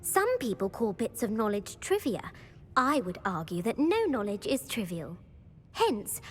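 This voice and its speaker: A woman's voice: a little high, a little hiss or hum. A woman playing an eager, bookish schoolgirl quizmaster in a video game, precise and enthusiastic. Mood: eager, persuasive. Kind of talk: video game character acting.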